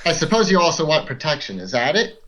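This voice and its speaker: A man's voice: a little high, sing-song, fast, sharp tone. A man playing a tabletop roleplaying game with casual banter, heard over a lo-fi microphone. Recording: dull, lo-fi microphone.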